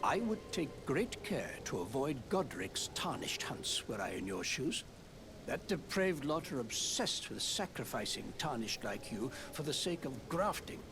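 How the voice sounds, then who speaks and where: A man's voice: mid-range voice, very animated, a little slow. An actor playing a pompous, self-important nobleman in a fantasy game, haughty and theatrical in his delivery. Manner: haughty, theatrical, grandiose declamation. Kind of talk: scripted fantasy game acting. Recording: background music under the voice.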